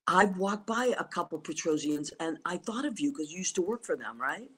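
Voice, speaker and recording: A woman's voice: fairly low pitch, expressive, brisk, husky. A woman whose first language is Italian, hosting a casual livestream over a video call, rambling enthusiastically with many fillers and restarts. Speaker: talk show host. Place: abroad on a trip, streaming remotely.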